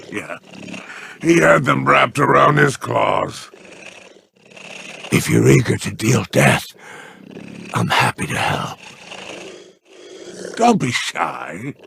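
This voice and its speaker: An actor playing a smug, scheming male alien crime boss in a video game, with a deep gravelly growl and a slow, mockingly polite manner. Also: fairly bright tone, a little hiss or hum, heavily acted. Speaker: merchant boss.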